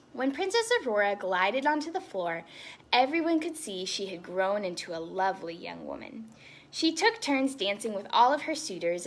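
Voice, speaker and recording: A woman reading a story aloud to children as a storytime host, expressive and breaking into chatty asides. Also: medium pitch. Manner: animated, with chatty asides to young listeners.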